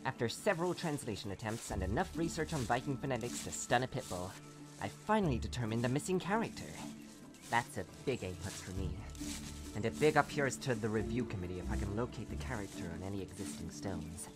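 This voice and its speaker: Guy doing nervy excitable researcher in a comic dub, halting mutters, pitch jumps all over, loud music under it. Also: natural voice. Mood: focused muttering. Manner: halting, muttering, bursting into high cries. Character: excitable thesis researcher hunting ancient runes, easily panicked. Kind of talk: amateur comic dub, dramatic journal monologue.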